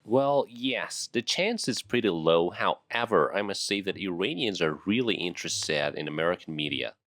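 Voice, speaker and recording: Iranian male host, Persian native, low voice, dry studio re-record. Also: animated, normal speed, a bit bright, no room sound. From Iran. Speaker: English teacher and host. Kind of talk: casual interview.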